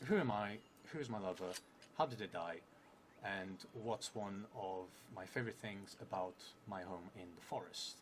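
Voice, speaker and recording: A man in his thirties thinking aloud in a casual, hesitant way as he explains a solo tabletop game on a live stream. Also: lowish voice. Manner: hesitant, halting. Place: indoor theatre room, seated at a table. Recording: clearly noisy live stream room.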